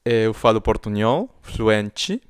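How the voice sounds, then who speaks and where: Argentine male co-host, native Spanish speaker, slow and halting, smooth tone. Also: lowish voice, expressive, no echo, clean studio sound. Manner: halting, searches for words, many fillers. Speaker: podcast co-host. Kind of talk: casual chat.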